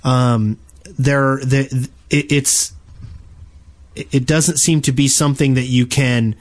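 A man co-hosting a gaming podcast, explaining his points casually and haltingly, often doubling back on his words. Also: medium pitch, steady tone. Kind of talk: casual panel discussion about video games.

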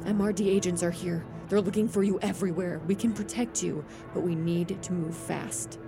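Creaky, firm young rescuer. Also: female voice, fairly low pitch, steady tone, moderate pace. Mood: urgent. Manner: direct, firm, reassuring. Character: urgent, protective young woman, underground rescuer. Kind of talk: naturalistic audio fiction acting. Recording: music behind the voice.

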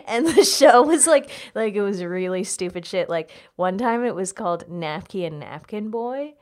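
A woman's voice: mid-range voice, lively intonation, normal speed, a little mellow. A young American woman chatting casually and telling personal anecdotes, with lots of "like" in her informal speech. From United States (South Carolina and Virginia). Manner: informal, heavy use of "like". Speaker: guest, comedian.

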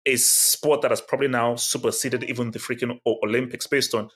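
A man's voice: mid-range voice, steady tone, a little fast, fairly bright tone. A man co-hosting a casual sports chat show, asking pointed questions and arguing his view emphatically. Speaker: podcast co-host.

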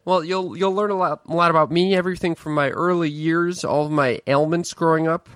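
A man's voice: a little high, fairly even intonation, fairly quick, fairly bright tone, no room sound, clean studio sound. A man in his twenties co-hosting a casual podcast, wry and defensive, stammering often. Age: 20s. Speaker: podcast co-host, stand-up comic. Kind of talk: casual chat.

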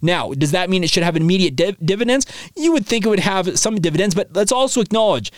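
A slightly hoarse man hosts a casual sports podcast, his voice sitting a bit high for a man. He talks excitedly, full of filler words and quick restarts, into a close mic with a dry, dead sound. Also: no echo. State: slightly hoarse, coming down with a sore throat. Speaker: podcast host.

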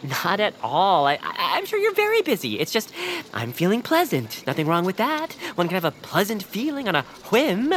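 A woman's voice: mid-range voice, huge pitch swings, normal speed, hardly any pauses, a little hiss or hum. A woman voicing an eager, chatty young male bird in family audio fiction, gushing and rambling when flustered. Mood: sheepish.

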